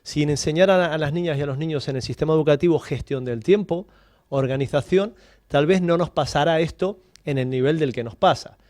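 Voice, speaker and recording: A man's voice: a little high, fairly quick, a little mellow, dry recording, studio quality. A Spanish-born man on a radio talk panel, explaining time management through stories and questions. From Spain. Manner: explanatory, storytelling, builds points with questions. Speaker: guest coach on time management. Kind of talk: radio talk-show discussion.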